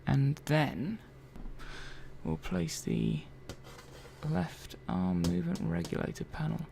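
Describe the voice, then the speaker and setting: A man playing a curious, gently chatty robot repair technician in a soft-spoken ASMR roleplay, murmuring and thinking aloud in an unhurried, hesitant way. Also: fairly high pitch, big pitch swings, creaky, heavily acted. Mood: gently absorbed. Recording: faint background noise.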